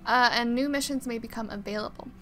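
A woman's voice: a little high, fast talker, a bit bright. A woman hosting a let's play, commenting casually on a game and reading on-screen text aloud.

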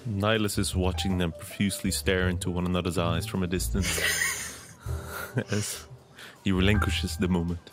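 A man's voice: low voice, level tone, normal speed, music in the background. A man narrating his character's actions as a player in a tabletop roleplaying game.

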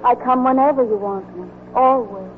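An actress playing a tender, devoted young English nurse in a dream, in a 1940s radio drama. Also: female voice, fairly high pitch, moderate pace, very noisy, close to an everyday voice. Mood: tender, soothing. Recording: muffled old radio recording, music behind.

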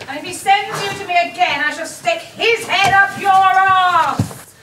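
An actress playing a brisk, blunt British woman who works in an office, in a comedy soap. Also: very high voice, roomy sound, a crowd in the background. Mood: indignant. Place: office, indoors.

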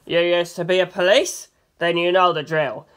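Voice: mid-range voice, fairly even intonation, normal speed, a bit bright, clear, resonant tone, natural voice. A narrator voicing a brash, scolding humanoid police spirit.

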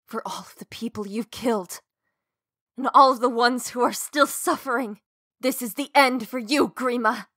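A woman's voice: fairly high pitch, normal speed, a bit bright. A woman playing a fierce, righteous young fighter in a comic dub. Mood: vengeful.

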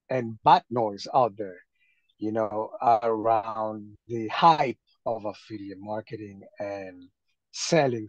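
A man from Venezuela talking casually on a group video call, haltingly, often repeating his phrases. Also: mid-range voice, dry recording. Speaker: call participant. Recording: dull lo-fi call audio.

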